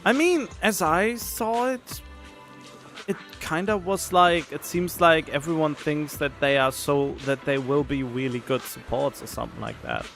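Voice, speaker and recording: A man's voice: a little high, a little slow, gravelly, a bit bright, faint music behind. A man streaming a game with casual, rambling commentary, thinking aloud as he chats. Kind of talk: casual chatty livestream commentary.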